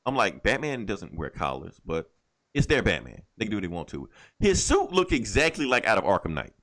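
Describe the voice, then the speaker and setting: Male podcast co-host, rapid-fire and highly expressive, opinionated, foul-mouthed, casual comic-fan chat. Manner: profane, emphatic, opinionated.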